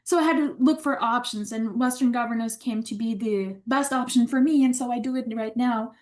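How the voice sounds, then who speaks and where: A woman's voice: fairly high pitch, fairly quick, a little mellow. A woman with a Ukrainian accent, a teacher in training, talking eagerly in a rambling way with many restarts during a casual online session. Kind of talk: casual webinar chat.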